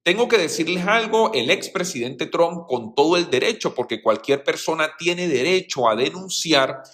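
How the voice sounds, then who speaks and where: A man's voice: on the high side, lively intonation, brisk, a bit bright. A man giving news commentary as a host, explaining things and addressing viewers directly.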